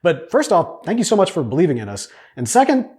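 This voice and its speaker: A middle-aged man telling a personal story in a conversational, earnest way as he pitches his crowdfunding project. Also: huge pitch swings, quick pace, darkish tone. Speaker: podcast producer and storyteller pitching his audio drama.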